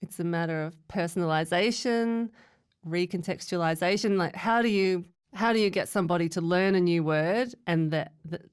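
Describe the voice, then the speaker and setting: Female teacher guest, lowish smooth voice, reflective and explanatory. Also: normal speed. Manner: thoughtful, explanatory, laughs often. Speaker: English teacher. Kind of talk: casual podcast chat.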